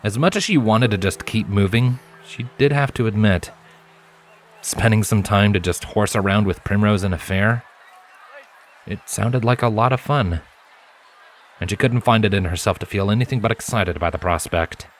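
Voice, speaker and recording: A man's voice: fairly low pitch, normal speed, a little hiss or hum. A man reading scripted story narration as an audiobook narrator. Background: background music.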